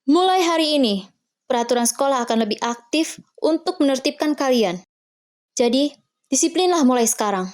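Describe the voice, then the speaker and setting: A woman's voice: high voice, expressive, moderate pace, a little mellow. A woman playing a strict, composed teenage student council president in a visual novel, speaking with authority. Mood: firm, composed. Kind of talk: acted visual novel drama.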